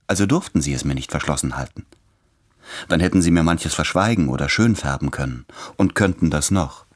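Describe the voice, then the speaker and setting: An actor playing a tender, anxious man writing love letters in a radio drama, speaking in an earnest, measured and intense way. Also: rumbling low.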